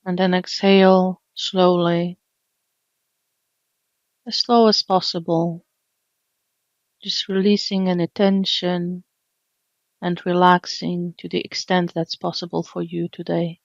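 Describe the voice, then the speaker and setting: A woman leading an unscripted guided body scan meditation, speaking gently and soothingly with long pauses. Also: lowish voice, flat delivery, no room sound, muffled recording. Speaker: meditation teacher.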